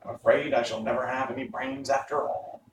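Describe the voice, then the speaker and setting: A man voicing a gentle, self-doubting scarecrow with an earnest manner, in a storybook reading. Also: medium pitch, steady tone, fairly quick, lightly acted. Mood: mournful. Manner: plaintive, earnest. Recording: roomy, distant, faint noise.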